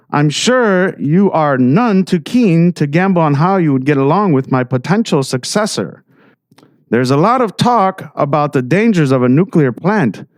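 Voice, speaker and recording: A pompous small-town mayor, acted by a man for an audio drama, talks in a higher male voice with big swings in pitch. He is scheming and eager to please those who can keep him in power, and the sound is dry and close. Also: no echo.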